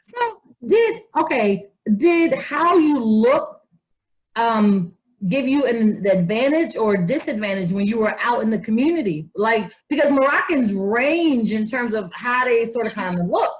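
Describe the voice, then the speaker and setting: Female interviewer, slightly high pitch, informal and halting, rephrases mid-sentence. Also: expressive, rough recording. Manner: halting, rephrases questions as she goes. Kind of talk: casual interview.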